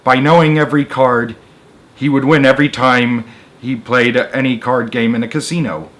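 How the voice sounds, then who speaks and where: A man's voice: medium pitch, fairly even intonation, fairly bright tone. Male narrator, mid-range voice, read-aloud storytelling, conversational asides, story-time host. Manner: pauses for conversational comments on the text. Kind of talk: reading a children's story aloud with casual asides.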